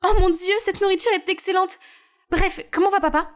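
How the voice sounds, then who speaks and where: A woman's voice: very high-pitched, steady tone, fairly quick, pitched above the performer's own voice. A woman voicing a bubbly, spoiled younger sister who talks in an eager, chirpy way.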